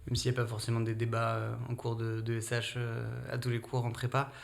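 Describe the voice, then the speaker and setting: A man interviewing a student, asking informal, hesitant questions full of pauses. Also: mid-range voice, completely flat delivery, creaky. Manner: informal, hesitant, many fillers. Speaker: interviewer for the prep school.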